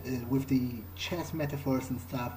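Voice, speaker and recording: A man's voice: medium pitch, fairly even intonation, moderate pace, a little mellow, a little hiss or hum. A man improvising aloud in character during a game playthrough, speaking in a hesitant, thoughtful, musing way. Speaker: gamer playing in character. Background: game music.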